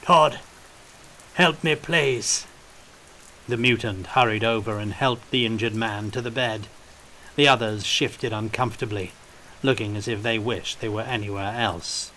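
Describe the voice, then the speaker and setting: A man's voice: lowish voice, steady tone, normal speed, pushed and bright, a little hiss or hum, low-quality recording. A man reading scripted fiction as an audiobook narrator, in a storytelling style.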